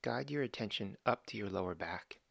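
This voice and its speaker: Gravelly low-voiced man guiding a meditation. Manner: slow, calm instructions with long pauses. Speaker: meditation guide. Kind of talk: guided body scan meditation.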